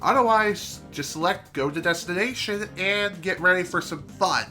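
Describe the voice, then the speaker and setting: Congested nasal guy doing a casual game stream, bright voice, game music faint behind. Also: sits high, moderate pace. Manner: chatty, self-deprecating asides. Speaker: streamer playing a video game. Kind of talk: casual let's-play commentary.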